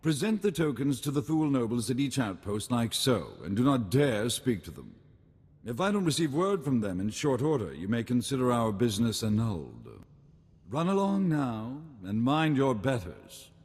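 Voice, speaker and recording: An actor playing a pompous, easily outraged aristocrat in a video game, speaking with haughty, formal condescension. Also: male voice, medium pitch, sing-song, normal speed, darkish tone, husky. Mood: haughty disdain. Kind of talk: scripted video game voice acting. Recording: long hall reverb.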